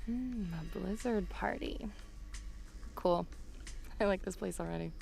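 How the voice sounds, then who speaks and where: A woman's voice: medium pitch, unhurried, creaky, a little mellow. A woman playing a wry, laid-back newspaper reporter in an audio drama. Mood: wry, relaxed.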